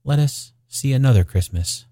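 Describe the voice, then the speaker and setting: A man playing a gentle, thoughtful ghostly spirit guide in a storybook reading. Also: medium pitch, animated, moderate pace, dry recording, clean studio sound, close to an everyday voice. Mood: calm.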